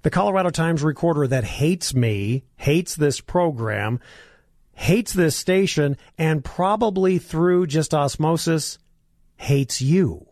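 A hoarse man hosting a talk radio show, delivering a combative, sarcastic monologue and coughing now and then. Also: a little high, takes it slowly, darkish tone, dry recording, clean studio sound.

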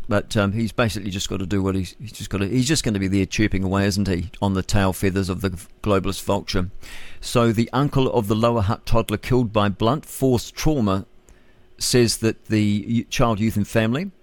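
A man's voice: fairly low pitch, dry recording. A man with a New Zealand accent hosting breakfast talk radio, rambling and opinionated as he comments on the news, with many fillers and self-corrections. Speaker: radio host.

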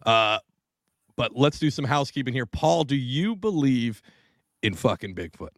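A man's voice: mid-range voice, very animated, normal speed, no room sound, studio quality. A man hosting a casual podcast, talking loosely with lots of swearing and laughter. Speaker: podcast host. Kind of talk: casual chat.

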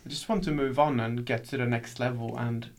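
Swedish musician, soft-spoken and laughing often. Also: male voice, medium pitch, fairly even intonation, brisk. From Sweden. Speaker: musician guest. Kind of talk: casual interview chat.

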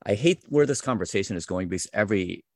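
A man in his forties with a very low, gravelly voice chats as an interview guest, relaxed and self-deprecating and full of fillers. The recording is close and dry, with no room sound. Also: fast, no echo. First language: English. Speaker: interview guest, wrestling translator. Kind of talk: casual interview chat.

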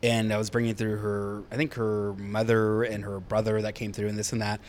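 A man's voice: on the low side, completely flat delivery, fairly quick, a little hiss or hum. A man in his thirties, a psychic medium, recounting his readings in a rambling, informal, conversational way. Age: 30s. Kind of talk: casual chat, storytelling.

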